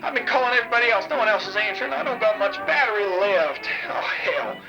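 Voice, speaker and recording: Man playing a high-pitched frantic scavenger over radio. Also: lively intonation, fast talker, highs cut off, music in the background. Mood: desperate. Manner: urgent, pleading, panicky. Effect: radio transmission. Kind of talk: audio drama acting.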